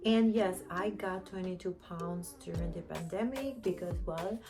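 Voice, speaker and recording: A woman's voice: fairly low pitch, measured pace, music in the background. Chatty Panamanian woman in her thirties. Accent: Panamanian Spanish. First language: Spanish. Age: 30s. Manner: chatty, candid, frequent fillers like "you know". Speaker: vlogger. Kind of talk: casual chat to camera.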